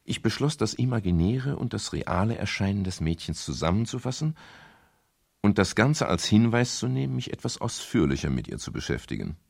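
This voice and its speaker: An actor playing a middle-aged private detective, courteous, wry and a little hesitant, in a German radio crime drama. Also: male voice, fairly low pitch, very animated, a little fast, vocal fry, a little mellow, full character voice. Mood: reflective narration. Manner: hesitant, politely ironic. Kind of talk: 1970s German radio crime drama, dialogue and first-person narration.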